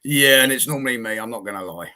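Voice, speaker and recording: A man's voice: medium pitch, lively intonation, fast talker, bright, forceful tone. A man hosting a casual music interview with a cheeky, dry, teasing manner. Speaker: show host.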